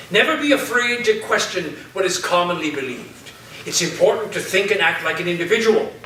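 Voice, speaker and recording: Eccentric captain for a stage play read by a man: commanding, emphatic, raised pitch, heard in a very echoey hall. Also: bright, forceful tone, heavily acted. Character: eccentric, rousing captain-teacher of a make-believe submarine crew. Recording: echoey hall, distant mic, audience noise, hiss.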